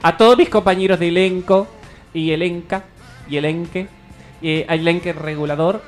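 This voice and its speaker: Radio theatre stepmother played by a man: grand, gushing diva, raised pitch, music behind. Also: steady tone, normal speed, close to an everyday voice. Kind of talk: improvised radio theatre comedy.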